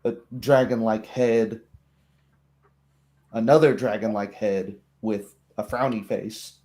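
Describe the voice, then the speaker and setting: A man narrating a tabletop roleplaying game as game master, in measured, hesitant phrases. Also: lowish voice, fairly even intonation, dark tone.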